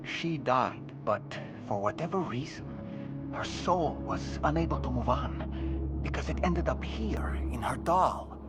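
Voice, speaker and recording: A man plays a weary spirit trapped inside a doll, a grieving father with a gentle, wistful way of telling his story. He sounds resigned to his fate, in the style of a cinematic game drama. Loud music runs behind the voice. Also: medium pitch, animated, measured pace, natural voice. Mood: mournful. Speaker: spirit medium. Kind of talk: cinematic video game acting.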